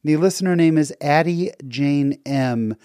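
A man's voice: a little high, a little slow, warm tone, clean tone, dry recording, clean studio sound. A man hosting a podcast interview, upbeat and friendly, with frequent fillers. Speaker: podcast host.

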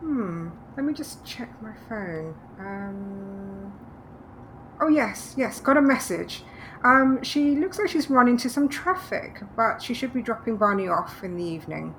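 A woman's voice: mid-range voice, lively intonation, a little slow, fairly bright tone. A woman playing a practical, dryly teasing wife in a naturalistic audio drama. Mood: upbeat, practical. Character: practical, teasing, reassuring wife moving to the countryside. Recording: clearly noisy background ambience.

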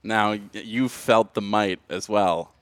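A man's voice: on the low side, takes it slowly, fairly bright tone, smooth, no echo, clean studio sound. A man co-hosting a wrestling podcast, asking guests questions in a chatty, joking way. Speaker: podcast co-host. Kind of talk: casual podcast interview.

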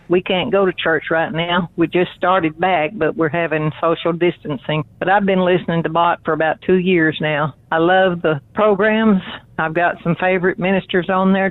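A woman's voice: lowish voice, dry recording. A female caller from Texas, speaking through a tinny, dry phone connection. She gives a plain, conversational listener comment. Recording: tinny, narrow phone line.

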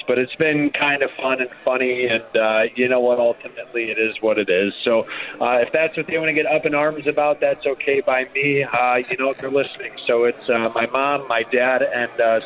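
A man talking sports as a radio play-by-play guest, conversational and wordy, with a folksy, self-deprecating way. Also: mid-range voice. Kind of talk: conversational sports interview commentary. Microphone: phone. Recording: muffled, band-limited phone line.

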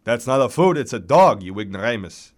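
A man acts out a very old, irritable character in a short story. The voice is a hoarse, tattered baritone. Delivery is scornful, punchy and lively in pitch. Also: a little fast, lightly acted. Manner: scornful, emphatic, jabbing. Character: shrunken, cantankerous ancient man, former baritone, scornful. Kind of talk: dramatized short story reading.

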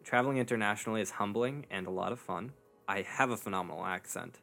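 A man's voice: lowish voice, quick pace, gravelly, bright, forceful tone, soft background music. A young man co-hosting a casual science podcast, teasing his co-host with dry jokes. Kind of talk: casual chat.

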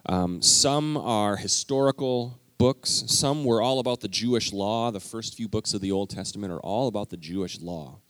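A man preaching a conversational teaching sermon in a church, talking directly to the congregation with lively emphasis. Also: lowish voice, normal speed, hardly any pauses, a little hiss or hum. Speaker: pastor. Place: church sanctuary.

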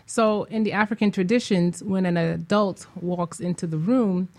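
A woman's voice: medium pitch, fairly even intonation, moderate pace, a little mellow. A woman guest casually explaining things in an interview. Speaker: homeschool organizer guest.

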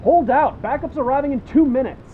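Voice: sits high, fairly quick, narrow, tinny audio, natural voice. An actor playing an embattled security officer in an action audio drama, urgent and barking out reports. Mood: desperate. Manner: urgent, barked radio-report phrasing. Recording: noisy gunfire and vehicle ambience.

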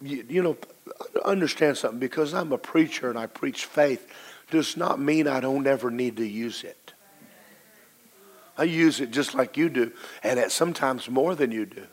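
A middle-aged man with a low, hoarse voice, preaching a sermon to his congregation with emphatic, slow, drawn-out stresses. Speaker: pastor.

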